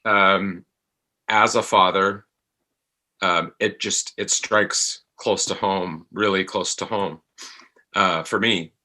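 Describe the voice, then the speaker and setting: A middle-aged man, an actor talking as an interview guest, reflective and halting as he searches for words. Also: low-pitched, steady tone, bright, forceful tone.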